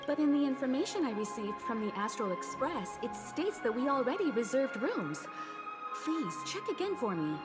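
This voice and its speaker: A woman's voice: high voice, sing-song, normal speed. A woman playing a poised adult traveler who speaks politely but firmly, in a video game. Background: game music.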